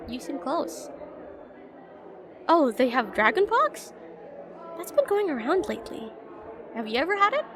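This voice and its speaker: A woman's voice: high voice, sing-song, unhurried, long pauses. A woman playing a shy, rambling young witch student in a slice-of-life fantasy audio roleplay, chatty, hesitant and self-deprecating. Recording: voice over busy classroom crowd ambience.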